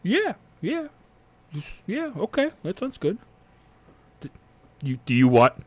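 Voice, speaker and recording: Nervous rookie militia captain, played by a male game master, hesitant, slow-paced, unsure of himself. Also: mid-range voice, a bit bright, dry recording. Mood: flustered. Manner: halting, stammering, hesitant. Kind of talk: improvised in-character roleplay.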